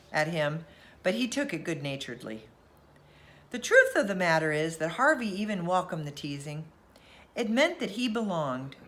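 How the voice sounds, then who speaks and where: A woman reading a children's storybook aloud as bedtime narration, in an expressive storytelling manner. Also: lowish voice.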